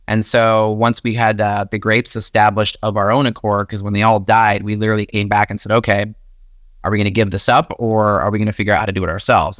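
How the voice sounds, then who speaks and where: Young american dude, kinda low voice, chatty interview storytelling, no room sound at all. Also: male voice, fairly bright tone, no room sound, studio quality. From United States. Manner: chatty storytelling, often quoting his own thoughts. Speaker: podcast guest, winery and wedding venue manager. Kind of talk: conversational interview answers.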